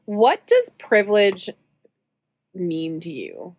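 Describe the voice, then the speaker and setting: A woman's voice: fairly low pitch, wildly swooping pitch, slow pace, a little mellow, husky, no echo. A woman with a Canadian accent hosting a casual group discussion in a joking, teasing way. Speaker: podcast host.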